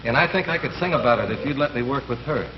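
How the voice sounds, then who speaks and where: A man who sings and leads the band on a 1950s radio comedy, talking in an easygoing way and gently explaining things to a child. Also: mid-range voice, animated, very fast, muffled recording, slight background noise. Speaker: singer and bandleader. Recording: old radio broadcast with music.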